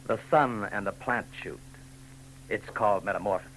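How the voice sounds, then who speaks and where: An actor playing a touchy, artistic husband with an affected, defensive manner in a 1940s radio drama. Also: male voice, fairly low pitch, normal speed, a bit bright, close to an everyday voice. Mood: proud. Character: touchy, artistic husband, amateur photographer, male. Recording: noisy, humming 1940s radio recording.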